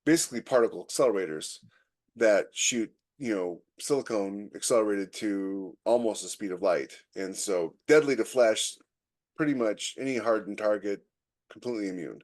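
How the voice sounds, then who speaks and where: Lower-pitched man in casual podcast talk. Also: normal speed, darkish tone. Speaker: podcast co-host and military sci-fi writer.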